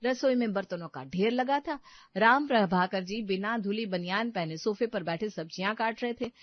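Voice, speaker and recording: A woman's voice: mid-range voice, normal speed, dry recording, muffled recording. A woman narrating a scripted domestic story as a storyteller.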